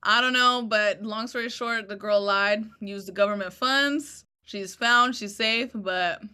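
Bright-voiced, chatty woman in her twenties. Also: mid-range voice, measured pace, clean tone. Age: 20s. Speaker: podcast co-host. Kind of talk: casual podcast banter.

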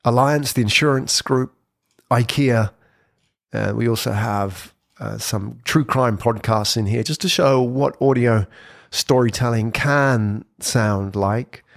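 A man giving an informal explainer talk on podcasting, lively and conversational, with frequent "sort of" hedges. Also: mid-range voice, takes it slowly, gravelly, no echo, clean studio sound. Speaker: presenter on brand podcasting.

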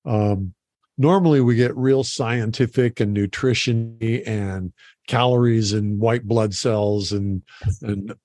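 A man hosting a podcast, talking in a relaxed, folksy way. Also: on the low side, takes it slowly, no echo, clean studio sound. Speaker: podcast host. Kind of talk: casual interview chat.

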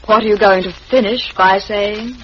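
An actress playing a poised but strained young scientist in an old-time radio mystery. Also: female voice, mid-range voice, steady tone, moderate pace, natural voice. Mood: composed, cool. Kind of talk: 1940s radio mystery acting. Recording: muffled old radio recording with hiss.

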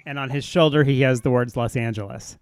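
A man's voice: medium pitch, fairly quick, noisy background. A man hosting a comedy podcast, quizzing guests in a casual, bantering style.

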